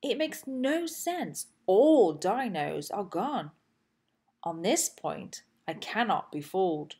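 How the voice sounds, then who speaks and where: A woman reading a children's storybook aloud in an expressive, deliberate way with emphatic phrasing. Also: mid-range voice, husky. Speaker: host and children's storybook reader.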